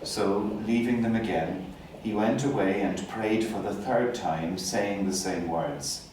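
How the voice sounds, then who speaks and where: A man's voice: on the low side, slow, a little mellow. A man narrating a Gospel passage as a member of the clergy, in a solemn scripture-reading style. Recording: very echoey, distant, faint background noise.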